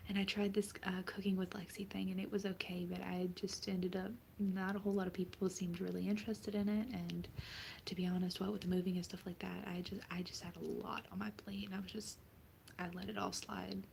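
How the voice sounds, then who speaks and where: A woman's voice: medium pitch, little pitch movement, fairly quick, gravelly, slight background noise. A young woman from the Southern US whispering a rambling, casual chat to her viewers, full of fillers and restarts. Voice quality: soft unvoiced whisper. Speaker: vlogger. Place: at home, in bed.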